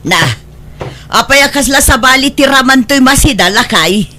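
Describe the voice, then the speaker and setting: A woman's voice: sits high, moderate pace, bright, forceful tone, a little hiss or hum. A woman acting a nagging, strict middle-aged wife and mother in an Ilocano comedy drama, with an Ilocano accent and a scolding manner. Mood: irritated, complaining. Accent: Ilocano, northern Philippines.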